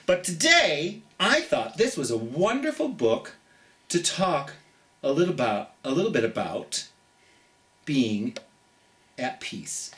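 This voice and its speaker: A middle-aged children's storytime host chatting and reading aloud, gently and theatrically, speaking straight to young listeners with drawn-out emphasis. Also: mid-range voice, big pitch swings.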